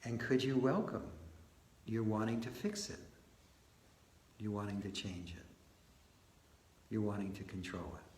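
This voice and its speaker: A man leading a guided meditation as its teacher, speaking in short phrases and gentle questions with long pauses between them. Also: fairly low pitch. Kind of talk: guided meditation led live, slow and spoken in short phrases. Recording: roomy echo with faint background noise.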